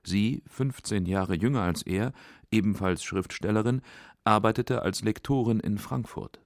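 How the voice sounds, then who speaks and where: A man's voice: on the low side, unhurried, no echo, clean studio sound. A man reading scripted narration for a radio literary documentary.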